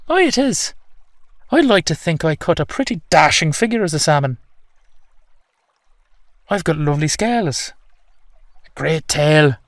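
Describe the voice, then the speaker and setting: Audio roleplay: whimsical, vain, ancient fish-turned-man, male actor. Chatty mythic storyteller, lightly raised pitch, dry close sound. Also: a bit bright, no echo. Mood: playfully indignant. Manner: chatty, colloquial, playfully indignant. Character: whimsical, boastful ancient salmon-man, storyteller of Irish myth.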